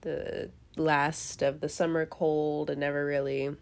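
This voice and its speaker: A woman's voice: low voice, flat delivery, unhurried, creaky, a little mellow. A young woman with a head cold hosting a casual tarot reading, chatty and rambling, laughing often and cursing now and then. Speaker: tarot reader host.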